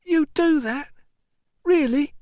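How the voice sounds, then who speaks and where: A man's voice: extremely high pitch, slow pace, darkish tone, dry recording, clean studio sound, pitched above the performer's own voice. A male narrator voicing a distraught, tearful young enslaved mother, wailing and bitter in an audiobook story. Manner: wailing, shrieking, accusatory. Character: distraught young enslaved mother, shrill, bitter, weeping over her son.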